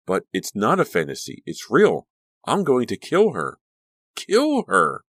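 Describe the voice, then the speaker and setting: A man's voice: medium pitch, wildly swooping pitch, measured pace, a bit bright, slightly rough, dry recording, clean studio sound, voice pushed up, exaggerated voice. An actor voicing a frightened teenage girl who feels she is losing control, in an anguished, breathless way. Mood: frantic. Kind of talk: audiobook character reading.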